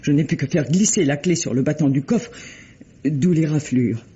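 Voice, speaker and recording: An actress playing a remorseful wife who makes an earnest, pleading confession in a vintage French radio drama. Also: female voice, sits low, moderate pace, dark tone, a little hiss or hum, lightly acted. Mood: urgent confession. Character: remorseful wife confessing a long-hidden secret to her trusting husband. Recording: dull old radio recording.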